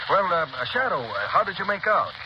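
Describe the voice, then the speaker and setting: An actor playing a flustered, doubting police commissioner who hems and stammers, in 1930s radio style. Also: male voice, high voice, big pitch swings, fairly quick. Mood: flustered, skeptical. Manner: hemming, stammering, repeating himself. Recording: muffled, hissy vintage radio recording.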